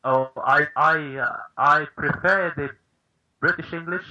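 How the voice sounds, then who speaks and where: A man's voice: a little high, unhurried, pushed and bright, highs cut off. A man calling in, speaking hesitantly with stumbles. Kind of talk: caller in a chat.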